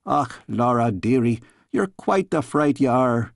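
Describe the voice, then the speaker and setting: A man's voice: medium pitch, expressive, unhurried, creaky, no echo, clean studio sound. A man voicing a stout, motherly castle maid who scolds in exasperation with a Scottish accent, in a storybook reading. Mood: fussing, motherly. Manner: thundering, exasperated, fussing.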